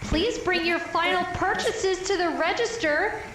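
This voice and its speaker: A woman's voice: very high-pitched, a little slow. A woman playing a mock-official shop announcer closing the store in an audio drama. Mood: playfully official. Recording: echoey, distant, clearly noisy recording.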